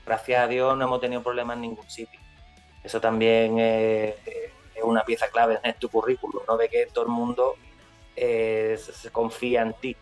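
A man's voice: medium pitch, monotone, normal speed. Guy on a webcam call, chatty, keeps asking "me entiendes", bit of music behind. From Spain. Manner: rambling, restarts, tag questions. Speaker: guest musician. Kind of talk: casual video call interview. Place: indoor room. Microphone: webcam on video call.

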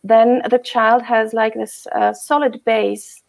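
A woman's voice: on the high side, little pitch movement, slow, gravelly. A woman hosting an interview on a video call, asking questions and adding her own experience. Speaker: host.

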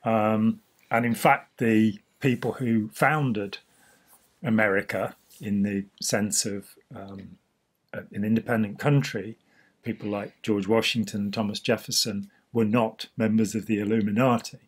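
A man, slightly hoarse, explaining history in a casual chat and gesturing freely as he talks. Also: on the low side, steady tone, measured pace. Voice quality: husky. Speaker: host. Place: small indoor room.

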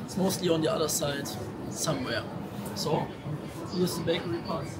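Higher-voiced young man in loud ambient noise. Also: normal speed. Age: 20s. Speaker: vlogger's travel companion. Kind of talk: casual chat. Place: in a car and a convenience store. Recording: noisy car and shop ambience.

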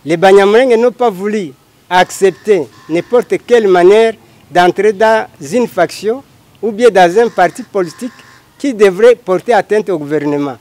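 A middle-aged man with a Congolese French accent explains things formally as an interview guest. His pitch is somewhat high for a man and his phrasing is deliberate. The sound is dry, picked up outdoors on a handheld microphone. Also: darkish tone, no echo. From Democratic Republic of the Congo. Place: outdoors by a house wall. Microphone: handheld microphone held by interviewer.

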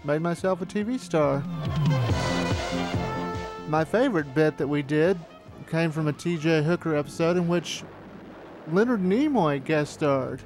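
Man, 40s, from Texas, higher voice, reminiscing documentary narrator, music underneath. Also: animated, a little slow, pauses often. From Texas, United States. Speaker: documentary narrator and amateur filmmaker.

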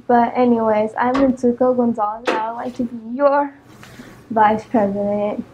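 A woman's voice: a little high, steady tone, moderate pace, highs cut off, a little hiss or hum. A teenage girl reciting a prepared campaign speech as a student candidate. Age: teenager, about 14.

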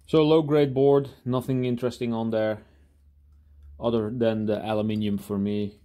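A man talking casually through a hands-on demonstration in a workshop, halting and thinking aloud as he goes. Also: medium pitch, little pitch movement, dark tone. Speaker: hobby scrapper presenting his own video. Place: indoor cluttered workshop.

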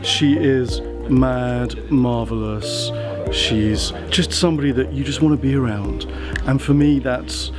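A man's voice: medium pitch, measured pace. Effusive, theatrical man. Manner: effusive, theatrical, gushing superlatives. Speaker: interior designer, show host. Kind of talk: casual chat while shopping. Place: indoor flea market stalls and car interior. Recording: music bed underneath.

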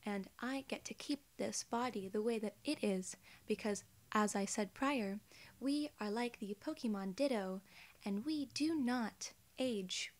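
A young woman playing a polite, curious alien gatekeeper in an ASMR roleplay, soft-spoken and partly whispering, with formal, quaint wording. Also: a little high, lightly acted. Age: young adult.

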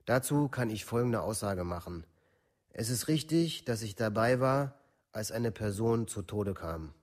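An actor playing a teenage murder suspect in a documentary radio drama, terse and formal as in a police statement. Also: male voice, lowish voice, expressive, unhurried. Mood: detached. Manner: terse, detached, formal recitation.

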